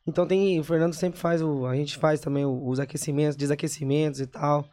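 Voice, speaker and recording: A man's voice: a little high, fairly even intonation, fairly quick, mellow. A man who sings in a duo, speaking in an easygoing way and often ending sentences with "né" in a casual interview. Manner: easygoing, lots of "né" tags. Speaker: singer in a duo.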